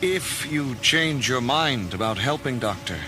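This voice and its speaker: A man's voice: lively intonation, unhurried, natural voice. A man playing an earnest, commanding starship admiral in a dramatized children's read-along storybook. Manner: earnest, authoritative. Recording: voice over loud music and rumble.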